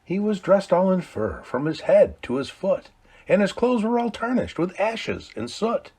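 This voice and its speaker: Husky guy doing a storybook read-aloud, voice jumps all over, hushed then booming. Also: big pitch swings, measured pace. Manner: dramatic swings from hushed low lines to loud bright calls. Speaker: storyteller reading a Christmas poem aloud.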